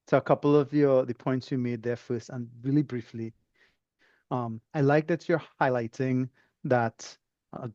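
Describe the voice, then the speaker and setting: A man's voice: medium pitch, darkish tone, no echo, dull audio. A man from Trinidad with a Trinidadian accent speaks as an interview guest in a thoughtful, discursive, conversational way. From Trinidad and Tobago.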